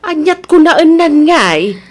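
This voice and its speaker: A woman's voice: high voice, very animated, a little slow. A woman acting a firm, humble middle-aged mother with an Ilocano Filipino accent, scolding and advising in a motherly way in an audio drama. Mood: exasperated.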